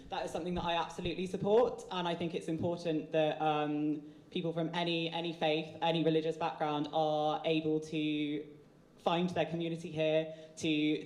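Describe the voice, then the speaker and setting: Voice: medium pitch, a little mellow. A candidate earnestly answering a question, restarting phrases now and then. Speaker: election candidate. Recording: echoey hall.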